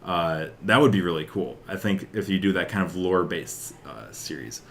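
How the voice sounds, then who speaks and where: A man's voice: low-pitched, normal speed, fairly bright tone, a little hiss or hum. A young man co-hosting a podcast, chatting casually about games and films in an enthusiastic, rambling way with hesitant phrasing. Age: young adult. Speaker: podcast co-host.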